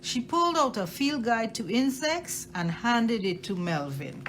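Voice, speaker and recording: A woman reading a children's picture book aloud expressively, with deliberate phrasing and emphasis on key words. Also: fairly high pitch, very animated, a bit bright, a little hiss or hum. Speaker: storytime reader at a library.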